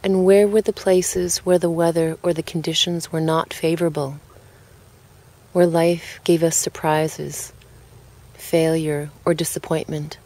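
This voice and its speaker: Low-pitched woman guiding a calm relaxation. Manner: calm, unhurried, gently spoken with pauses. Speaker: guided relaxation narrator. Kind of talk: guided relaxation, scripted narration.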